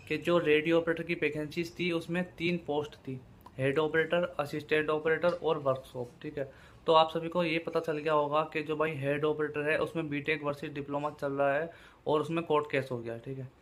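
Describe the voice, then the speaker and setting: A man's voice: fairly high pitch, flat delivery, normal speed, fairly bright tone. A man giving an informal explainer to viewers as a channel host, repeating his points with familiar check-in tags. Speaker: YouTube host giving job exam updates.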